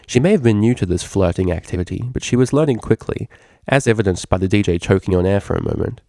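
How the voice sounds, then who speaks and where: A man's voice: on the low side, lively intonation, quick pace, dark tone, dry recording, studio quality. A man reading a scripted story aloud as its narrator. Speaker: fan fiction reader.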